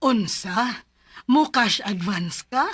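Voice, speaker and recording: A woman's voice: medium pitch, animated, takes it slowly, breathy edge, dry recording, soft background music. A woman with a Cebuano Filipino accent playing an agreeable but firm household employer in a radio drama. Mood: reluctant.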